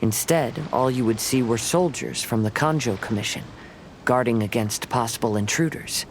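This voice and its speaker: A man playing a gentle, reflective young wandering samurai in a video game, speaking in a measured way. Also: medium pitch, slight background noise, close to an everyday voice, poor audio. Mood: calm, reassuring. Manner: calm, measured, contemplative. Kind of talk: scripted video game dialogue. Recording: loud music under the voice.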